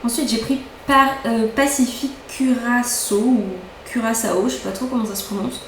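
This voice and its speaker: A woman's voice: on the high side, fairly even intonation, moderate pace, background noise. Chatty young woman in an echoey room. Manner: chatty, enthusiastic, repeats words for emphasis. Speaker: beauty vlogger. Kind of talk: casual haul chat. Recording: echoey room, faint ambience.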